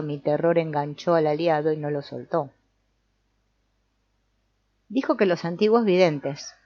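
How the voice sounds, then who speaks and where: A low-voiced woman narrates an audiobook in a dull, slightly lo-fi recording. The sound is very dry, with hardly any room around it. She reads in a measured, explanatory manner, as if setting out a lesson from the page. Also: darkish tone, no room sound. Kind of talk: scripted narration, reading a book aloud.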